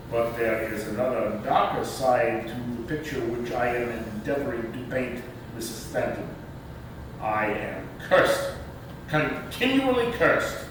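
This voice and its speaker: Man acting a pompous rich old uncle, slow, echoey. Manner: pompous, oratorical, emphatic. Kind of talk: staged readers theater performance. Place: echoey room, distant mic. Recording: echoey hall from far off, rumbling noise.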